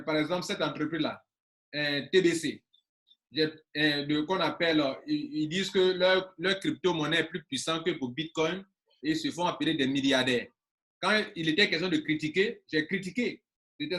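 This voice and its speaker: A man's voice: high-pitched, pushed and bright. A man in his forties, an online business coach talking casually to his viewers and stressing points by repeating phrases. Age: 40s.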